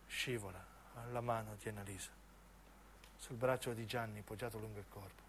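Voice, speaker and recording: A man narrates as a stage actor, in intense, deliberate phrases of dramatic radio storytelling. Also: on the low side, steady tone, a little hiss or hum. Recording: piano music under the voice. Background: piano and keyboard music.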